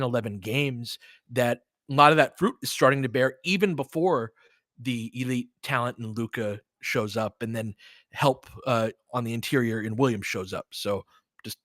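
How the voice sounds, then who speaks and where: Casting: American male sports host, mid-pitched, conversational and emphatic live analysis, dry studio-like sound. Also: a bit bright, no echo. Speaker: sports show host and game commentator. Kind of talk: casual live basketball analysis, chatting with listeners.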